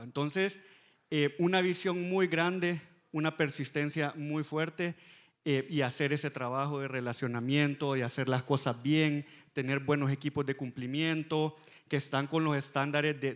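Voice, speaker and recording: A man's voice: a little high, steady tone, normal speed. A Salvadoran man speaking as a fintech panelist on a conference stage, answering frankly and with some self-deprecation.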